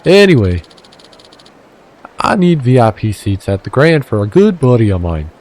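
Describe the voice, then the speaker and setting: A man's voice: mid-range voice, big pitch swings, moderate pace, darkish tone, a little hiss or hum, lightly acted. A man voicing a brash, wheeling-dealing male fixer with a shaky attempt at a Minnesotan accent, pushy and threatening on the phone. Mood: bullying.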